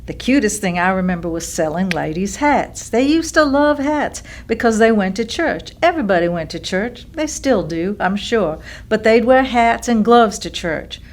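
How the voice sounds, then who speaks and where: A woman's voice: medium pitch, lively intonation, normal speed, few pauses, lightly acted. Actress playing a reminiscing small-town storekeeper woman. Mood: fond, reminiscing. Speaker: actress in a staged reading. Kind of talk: staged monologue of oral history recollections.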